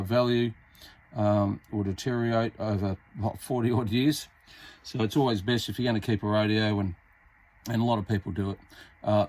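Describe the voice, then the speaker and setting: An Australian man giving a casual hands-on repair tutorial, talking in a halting, unscripted, step-by-step way as he works. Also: lowish voice, a little mellow. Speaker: hobbyist demonstrating a radio repair. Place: indoors at a repair workbench. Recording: clearly noisy amateur workbench recording.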